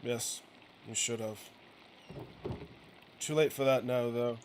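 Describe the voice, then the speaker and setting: A man's voice: medium pitch, steady tone, slow, natural voice. A man voicing a weary, resentful printer and father from a video game, curt, firm and reproachful, with a righteous streak. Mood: bitter, disappointed. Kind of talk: acted reading of video game dialogue. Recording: clearly noisy game ambience behind voice.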